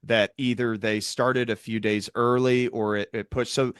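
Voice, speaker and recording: A man's voice: medium pitch, flat delivery, moderate pace, fairly bright tone, smooth, dry recording, studio quality. A man hosting a conversational clinical discussion, speaking earnestly and repeating words for emphasis. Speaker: podcast host, psychiatrist.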